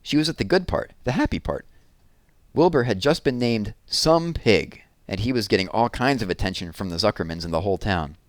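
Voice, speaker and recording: A man narrating a children's book aloud with expressive storytelling. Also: on the low side, a little fast, dry recording.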